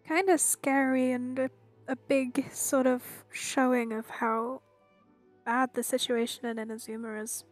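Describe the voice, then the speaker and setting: A woman's voice: high voice, flat delivery, a little slow, soft background music. A woman giving casual, informal commentary while playing through a video game. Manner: informal, reactive. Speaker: game streamer.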